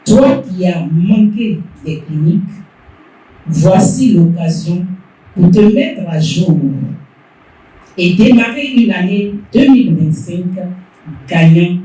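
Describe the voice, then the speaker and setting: A woman giving a gentle welcome address to a meeting. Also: fairly low pitch, lively intonation, slow pace. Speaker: welcome speaker. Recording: echoey hall from far off.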